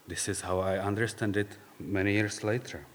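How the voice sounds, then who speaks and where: A man with a Czech accent, speaking English as a second language, gives a reflective personal faith talk in halting, searching phrases. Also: lowish voice, fairly even intonation. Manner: halting, searching for words, non-native phrasing. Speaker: speaker sharing faith story. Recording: long reverb, hall-like.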